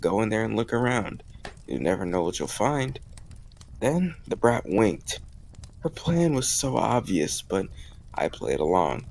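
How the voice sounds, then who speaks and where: A man acting a warm, teasing young suitor in a romantic audio roleplay, talking casually and intimately to the listener. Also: fairly low pitch, big pitch swings, unhurried, creaky, heavily acted. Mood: casual, amused. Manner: casual, gently teasing, conversational with soft chuckles.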